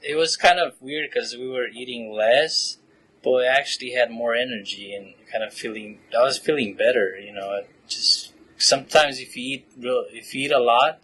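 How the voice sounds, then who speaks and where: A man in his late twenties from Romania, a graduate student answering interview questions in a relaxed, chatty way with frequent fillers and laughs. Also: mid-range voice, moderate pace, a little hiss or hum, low-quality recording. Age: 20s. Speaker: podcast guest, PhD student.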